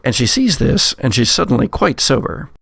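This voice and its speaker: A man telling spooky stories as a host and game master, measured, with dramatic pauses and wry asides. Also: medium pitch, sing-song, creaky, fairly bright tone, husky, no room sound, studio quality. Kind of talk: narrated spooky anecdote and game narration.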